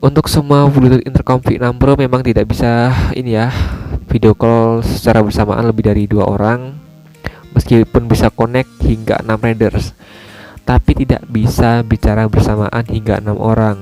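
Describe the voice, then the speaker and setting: Low-pitched man with a bright voice. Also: little pitch movement, moderate pace, faint music behind. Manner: explanatory, informal, repeats points for emphasis. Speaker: motovlogger reviewing gear. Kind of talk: casual product review.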